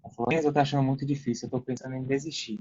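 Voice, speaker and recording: A slightly muffled podcast recording of a young Brazilian man with a medium-pitched voice. He explains his work casually, in the manner of a guest, and keeps adding little tag questions. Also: steady tone, rapid-fire, a little mellow. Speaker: podcast guest, online affiliate marketer working in support. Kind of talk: casual interview talk.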